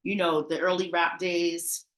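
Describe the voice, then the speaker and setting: Middle-aged Bronx woman, lowish voice, animated storyteller, echoey room. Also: unhurried. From the Bronx, New York. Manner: animated, chatty, laughs often, trailing restarts. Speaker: party promoter, interview guest. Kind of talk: casual interview storytelling.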